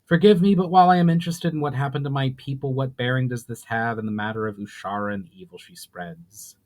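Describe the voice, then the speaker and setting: A man's voice: mid-range voice, fast talker, a little mellow, natural voice. A man voicing an earnest male adventurer in a storybook reading, asking formal questions with urgency. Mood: insistent, puzzled. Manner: urgent, inquisitive, formal speech.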